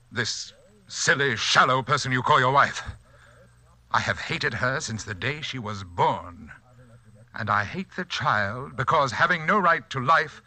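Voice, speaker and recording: An actor playing a calm, polite, condescending and murderous hypnotist in 1940s radio suspense style. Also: male voice, medium pitch. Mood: cold hatred. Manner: soft-spoken, polite, composed, condescending.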